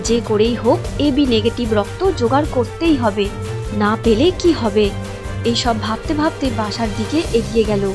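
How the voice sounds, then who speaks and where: High-voiced woman narrating a story over music. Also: moderate pace. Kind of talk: scripted moral story narration.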